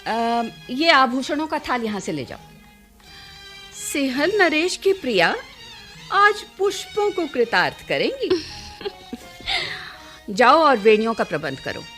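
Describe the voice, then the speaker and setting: A woman playing an affectionate, teasing older courtesan matron in a Hindi radio play, with formal, deliberate diction. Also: sits high, very animated, bright, forceful tone, soft background music, full character voice. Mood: commanding. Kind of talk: theatrical Hindi radio drama acting.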